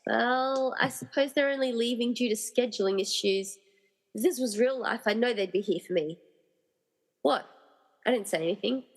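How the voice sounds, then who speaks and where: A woman's voice: on the high side, normal speed. Woman acting an anxious heroine in echoing reverb. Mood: wry, uneasy. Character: anxious, determined woman hero rescuing her friends. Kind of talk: amateur radio-play acting.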